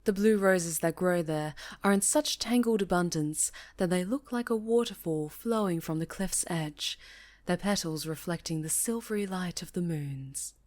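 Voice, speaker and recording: A woman voicing a stern, cautious high enchantress and mentor, speaking gravely and formally in a fantasy roleplay. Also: moderate pace, a little mellow, close to an everyday voice. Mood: solemn. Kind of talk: fantasy ASMR roleplay acting.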